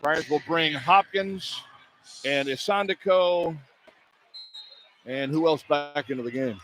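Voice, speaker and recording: High-voiced man calling live basketball. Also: slow pace, a bit bright, rough recording. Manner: clipped running descriptions of the action. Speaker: play-by-play radio announcer. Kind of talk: live basketball play-by-play commentary. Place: courtside in a basketball arena. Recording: clear arena noise behind the voice. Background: arena ambience.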